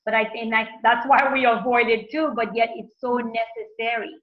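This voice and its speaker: A woman's voice: on the high side, fairly even intonation, normal speed. Affirming host woman, dull recording. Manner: affirming, echoes the guest. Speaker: podcast host, coach. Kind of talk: interview conversation.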